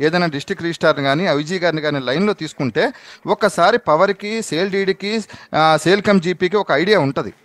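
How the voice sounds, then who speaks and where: A man's voice: high-pitched, quick pace, a bit bright, no room sound. A man phoning in to a live show, explaining his side insistently and politely, often repeating himself. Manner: insistent, repetitive, polite pleading. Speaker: phone-in guest giving his side. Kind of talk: live phone interview, explaining. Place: on a phone call to a live TV show.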